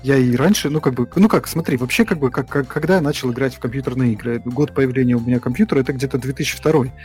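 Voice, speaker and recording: A man's voice: medium pitch, darkish tone. Guy rambling about games on a podcast, lots of umms and restarts, music faint in the back. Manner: halting, many fillers and restarts. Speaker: podcast co-host. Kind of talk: casual chat about games.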